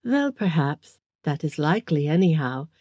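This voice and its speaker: A woman's voice: deep voice, very animated, moderate pace, warm tone, dry recording, dull audio. A woman voicing a sinister foreign-accented male villain who speaks with a constant sneer. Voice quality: sneering, insinuating.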